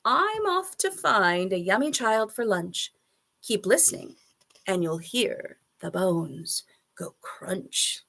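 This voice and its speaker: A woman's voice: medium pitch, expressive, slow pace, vocal fry, fairly bright tone, exaggerated voice. A woman voicing a greedy, sly male crocodile from a children's storybook, gloating and relishing every menacing word. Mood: sly, scheming. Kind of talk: children's storybook character reading.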